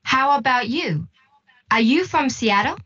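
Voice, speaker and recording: Friendly aussie woman tourist, slow careful textbook dialogue, dull playback. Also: high voice, lively intonation, bright, forceful tone, breathy edge, heavily acted. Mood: friendly, measured. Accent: Australian. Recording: dull playback of lesson audio.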